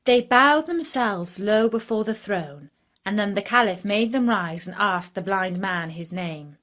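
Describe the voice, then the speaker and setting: A woman's voice: medium pitch, lively intonation, unhurried, fairly bright tone. A woman reading a scripted fairy tale for children as a storyteller.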